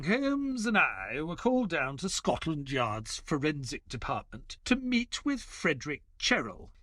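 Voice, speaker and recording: Measured male chronicler of grim wartime crimes actor, audio drama, dramatic and widely varied in pitch. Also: a bit bright, dry recording, studio quality. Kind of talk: scripted audio drama narration.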